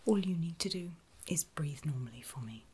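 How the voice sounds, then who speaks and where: Softly whispering woman, flat and gentle. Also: sits low, moderate pace. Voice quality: soft, mostly unvoiced breathy whisper. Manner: gentle, reassuring, step-by-step instructions. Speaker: ASMR performer playing a pre-op medical examiner. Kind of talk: whispered ASMR medical roleplay.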